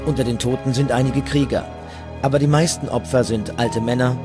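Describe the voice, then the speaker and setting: A man's voice: medium pitch, steady tone, brisk. A man reading scripted documentary narration as the narrator. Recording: music bed behind the voice. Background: music.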